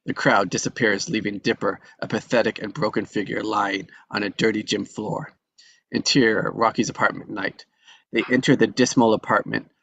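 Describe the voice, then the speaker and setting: A man reading the stage directions at a live script table read, steady and measured. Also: fairly low pitch, creaky, no echo, dull audio.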